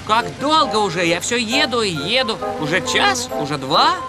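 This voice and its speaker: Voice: mid-range voice, big pitch swings, normal speed, lightly acted. An actor voicing a cheerful, childish, eager little tow truck in a children's cartoon dub, naive and full of exclamations. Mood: excited, naive. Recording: music behind the voice.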